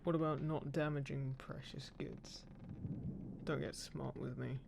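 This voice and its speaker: Man acting a gravelly, teasing pirate captain. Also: mid-range voice, level tone, measured pace, noisy background. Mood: wry, guarded. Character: undead male pirate captain, roguish, smug, gruffly caring. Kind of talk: roleplay audio drama, addressed to the listener. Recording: constant ship creaking ambience behind voice. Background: creaking wooden ship sound effects.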